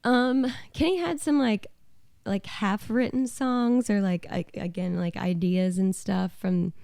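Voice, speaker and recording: A woman's voice: mid-range voice, measured pace, gravelly, a little mellow, no room sound, studio quality. A young adult woman chatting casually and wryly as a musician guest on a podcast. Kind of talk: casual chat.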